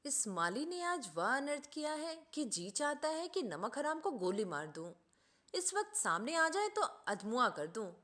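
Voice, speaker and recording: A woman voicing an indignant gentleman doctor from a storybook, in a dramatic, formal, emphatic manner. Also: sits high, big pitch swings, unhurried, full character voice. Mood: angry. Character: indignant, melodramatic gentleman doctor, master of an orchard.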